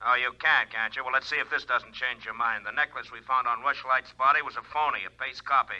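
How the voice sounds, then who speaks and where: Gruff 40s radio cop on the phone, really sharp forceful voice, muffled band. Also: male voice, mid-range voice, fairly even intonation, fairly quick. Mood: brusque. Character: gruff, needling police homicide lieutenant. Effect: telephone filter. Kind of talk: 1940s radio acting. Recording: band-limited telephone line, old radio.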